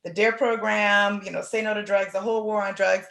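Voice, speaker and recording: Female podcast host, 30s, from Oakland, chatty and lively; roomy echo on the mic. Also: mid-range voice, a little fast, vocal fry. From Oakland, California. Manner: animated, talks in long runs with fillers like "like". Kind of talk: casual conversation.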